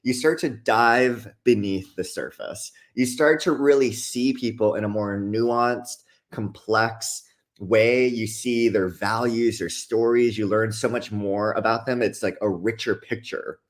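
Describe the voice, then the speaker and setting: A young adult man from Hawaii, a guest author talking in an earnest, relaxed way with lots of casual fillers. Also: on the low side, measured pace. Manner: earnest, relaxed, many fillers like "like" and "you know". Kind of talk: casual conversational interview.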